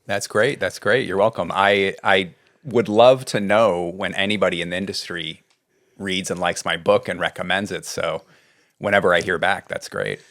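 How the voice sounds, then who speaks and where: A middle-aged man from California, a writing coach being interviewed, talking in a relaxed, rambling way. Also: low-pitched, normal speed. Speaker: guest, script doctor and writing coach. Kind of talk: casual interview talk.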